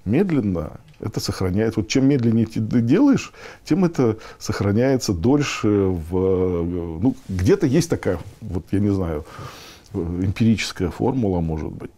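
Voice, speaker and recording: A man in his sixties giving conversational interview answers, explaining haltingly and posing rhetorical questions. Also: on the low side, lively intonation, warm tone. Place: meeting room, indoors.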